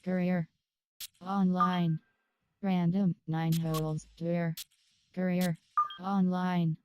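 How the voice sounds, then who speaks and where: A woman speaking single menu commands in a flat, slow way. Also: lowish voice, highs cut off, slight background noise. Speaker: menu voice navigation.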